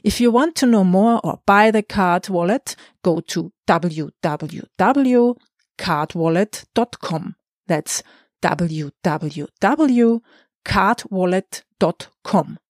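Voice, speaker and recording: A woman's voice: fairly low pitch, slow, a little mellow, dry recording, clean studio sound. A woman hosting an interview podcast, presenting introductions and asking questions in a prepared, orderly way.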